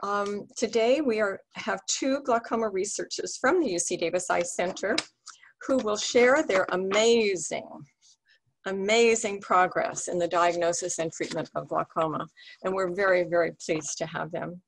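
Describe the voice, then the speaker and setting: A woman's voice: medium pitch, moderate pace, a little hiss or hum, low-quality recording. A woman hosting a virtual support group meeting, giving an earnest introduction of guest speakers on a video call.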